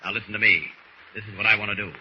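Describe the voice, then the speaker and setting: A commanding male superhero, played by an actor in a vintage radio serial. His voice is low, hard-edged and heroic. The old recording is dull with faint hiss. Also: little pitch movement, rapid-fire, lightly acted. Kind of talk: 1940s radio acting.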